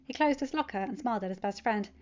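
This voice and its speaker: A woman's voice: fairly high pitch, quick pace, muffled recording. A woman reading scripted fan fiction narration as a storyteller.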